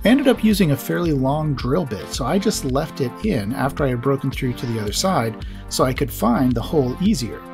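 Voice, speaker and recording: A man's voice: medium pitch, big pitch swings, brisk, creaky, over music. A man hosting a hobby tutorial, explaining things step by step in a plain-spoken way. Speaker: host of an electronics hobby channel.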